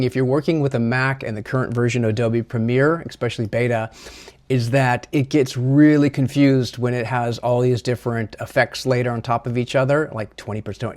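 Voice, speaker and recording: A man presenting a software tutorial in a casual, chatty, slightly self-deprecating way. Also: medium pitch.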